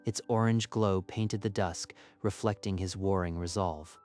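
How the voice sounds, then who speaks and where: A man's voice: low-pitched, fairly even intonation, unhurried, a little mellow, soft background music. A man narrating a scripted story in a dramatic style.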